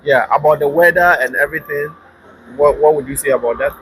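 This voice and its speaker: A man's voice: a little high, a little fast, fairly bright tone, poor audio. A man interviewing casually, with informal, teasing questions and frequent short responses. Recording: faint background noise.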